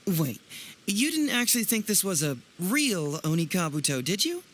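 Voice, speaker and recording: An actor playing a clever, teasing young male detective and smooth presenter in a video game, speaking in a wry, theatrical way. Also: high-pitched, big pitch swings, normal speed, a bit bright, a little hiss or hum. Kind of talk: animated video game voice acting.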